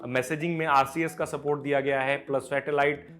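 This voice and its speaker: A man's voice: medium pitch, little pitch movement, fairly quick, a bit bright. A man with an Indian accent presenting tech news as an informal, unscripted explainer, mixing English words into his speech. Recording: music playing behind the voice. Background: background music.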